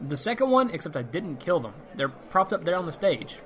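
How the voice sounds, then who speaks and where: A man plays a wisecracking monster hunter in a fantasy audio comedy. His fairly high male voice tosses off sardonic lines with cocky ease. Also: big pitch swings, fairly quick, slight background noise. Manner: breezy, sardonic banter.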